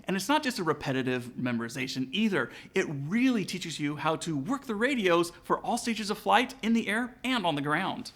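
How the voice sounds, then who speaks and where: Male vlog host for how-to videos: slightly high voice, very lively intonation, casual scripted delivery aimed straight at the viewer. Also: moderate pace, few pauses. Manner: casual, direct address to viewers. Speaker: aviation vlog host, student pilot. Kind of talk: upbeat scripted tutorial narration with sponsor read.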